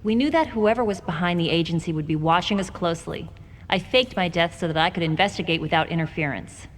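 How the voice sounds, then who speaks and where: An actress playing a steady, candid female federal agent in a video game, recounting in a measured, matter-of-fact way. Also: on the low side, in a large hall, lightly acted. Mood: earnest. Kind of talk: video game cutscene acting.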